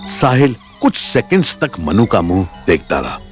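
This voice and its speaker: A man's voice: lowish voice, wildly swooping pitch, normal speed, faint music behind. A man narrating a dramatic audio story in a scripted storytelling style.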